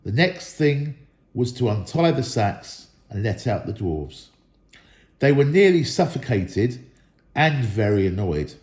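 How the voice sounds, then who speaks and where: A man's voice: medium pitch, warm tone. A man reading a story aloud expressively, in the style of children's storytelling, in an echoey room. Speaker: storybook reader, school staff member.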